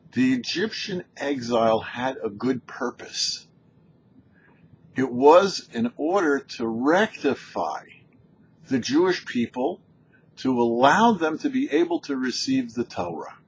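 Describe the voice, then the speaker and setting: A man, a rabbi hosting a show, teaching scripture in a deliberate, emphatic way. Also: mid-range voice. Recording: dull lo-fi sound with background noise.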